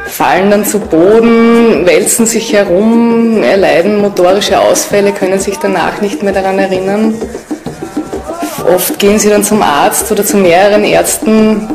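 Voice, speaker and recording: A woman's voice: mid-range voice, moderate pace. A woman speaking as an interviewed expert, explaining her field in spontaneous talk. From Austria. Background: background music.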